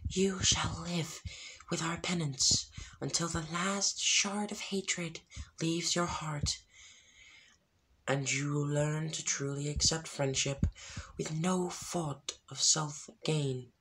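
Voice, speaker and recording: A reader voicing a stern, ethereal spirit passing judgment, breathy and half-whispered, in a noisy home recording. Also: medium pitch, fairly even intonation, slow pace, pitched above the performer's own voice, close to an everyday voice. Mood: stern, solemn.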